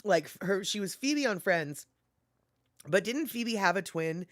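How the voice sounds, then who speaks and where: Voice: medium pitch, lively intonation, measured pace, fairly bright tone, no echo, studio quality. Chatty streamer reading chat aloud. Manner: chatty, laughing, muses aloud while reading comments; frequent fillers like "let's see here". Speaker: livestream host reading chat. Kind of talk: casual livestream chat.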